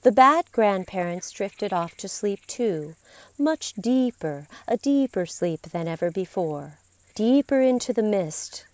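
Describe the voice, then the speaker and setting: A woman narrating a scripted story in a deliberate, theatrical way, with dramatic pauses and emphasis. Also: medium pitch, no room sound. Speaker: podcast storyteller.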